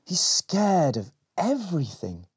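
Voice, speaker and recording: A bold young storybook elf girl, voiced by a man, brisk and encouraging. The voice is high and lively, urging her companion on. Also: slightly rough, dry recording, clean studio sound, heavily acted. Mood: exasperated.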